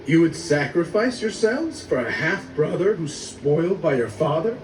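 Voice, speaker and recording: Bitter young egyptian lord, bossy and accusing, highish voice, cartoon movie, kinda distant echoey audio. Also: male voice, sing-song, moderate pace. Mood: stern, accusing. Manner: commanding, accusing, interrogating. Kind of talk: animated film voice acting. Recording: roomy, distant, noisy film soundtrack rip.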